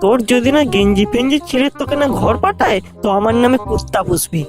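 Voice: mid-range voice, fast talker, over music, natural voice. A performer voicing a mocking, foul-mouthed onlooker in a comedy cartoon. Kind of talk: Bengali comedy cartoon dubbing.

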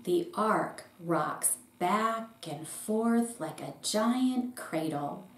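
A middle-aged woman, a pastor, reading a children's picture book aloud in short, deliberate phrases. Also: mid-range voice, animated. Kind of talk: storybook read-aloud for children. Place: small indoor room with bookshelf. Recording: roomy echo with faint background noise.

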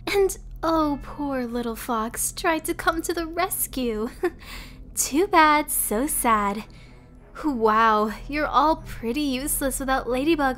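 Young female cartoon villain, high voice, sneering and vengeful, woman acting, faint music behind. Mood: gloating. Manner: taunting, sneering. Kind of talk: fan comic dub acting.

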